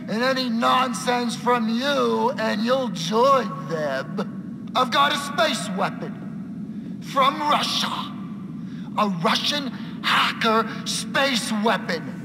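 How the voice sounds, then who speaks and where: An actor playing a menacing, eccentric hacker in a video game cutscene, theatrical and erratic. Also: male voice, very high-pitched, heavily acted. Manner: theatrical, erratic, drawn-out threats. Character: unhinged, menacing eccentric male hacker, gleefully threatening. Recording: echoey hall with clear background noise.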